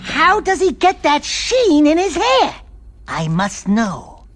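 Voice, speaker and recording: Tiny wisecracking animal, way high voice, crazy swoops, cartoon, slight music. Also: male voice, huge pitch swings, slow pace, fairly bright tone, heavily acted. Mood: envious. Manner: sarcastic, exclaiming. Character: wisecracking, excitable small furry animal sidekick. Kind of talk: cartoon game comic relief.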